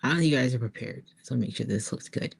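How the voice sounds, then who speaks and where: Female, low register, informal creator chat, halting and unscripted. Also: vocal fry, husky. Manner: informal, halting, thinking aloud. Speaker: author-artist hosting a creative livestream. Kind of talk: casual chat to viewers while working on art.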